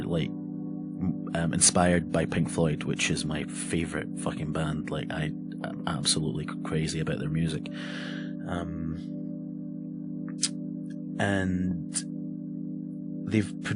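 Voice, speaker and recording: A young man from Egypt hosting a casual podcast, talking in an enthusiastic, offhand way and swearing now and then. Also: deep voice. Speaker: podcast host. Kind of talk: casual solo podcast chat.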